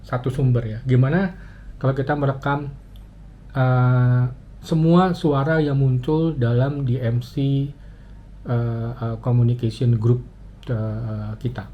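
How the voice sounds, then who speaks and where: A muffled, slightly dull recording with noticeable background noise carries a man's voice at a medium pitch. He walks through a demonstration casually, step by step, pausing often and thinking aloud as he goes. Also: steady tone. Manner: halting, thinking aloud with frequent pauses. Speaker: motovlogger giving a gear tutorial. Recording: muffled, dull audio with clear ambient noise.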